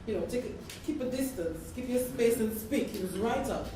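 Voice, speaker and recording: Woman with a highish voice telling her story, animated, really echoey room, mic far off. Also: moderate pace, background noise. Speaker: community member speaking at a gathering. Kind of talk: animated personal storytelling in group discussion.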